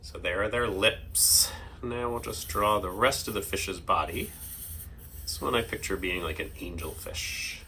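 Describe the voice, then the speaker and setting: Bright-voiced man giving an unhurried drawing demo. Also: lowish voice, slight background noise, poor audio. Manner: informal, playful, simple explanations for children. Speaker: host of a children's drawing segment. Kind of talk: casual step-by-step drawing demonstration.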